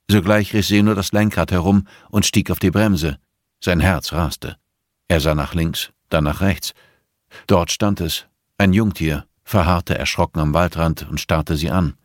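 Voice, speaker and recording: Deep-voiced male audiobook narrator. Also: steady tone, unhurried, no room sound, clean studio sound.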